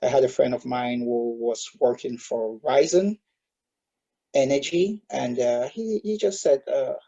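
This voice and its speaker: A man's voice: medium pitch, a little slow, gravelly, warm tone, lo-fi sound. A man in his thirties from Cameroon, a guest in an online interview, speaking hesitantly with many filler sounds. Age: 30s. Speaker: guest, solar industry expert.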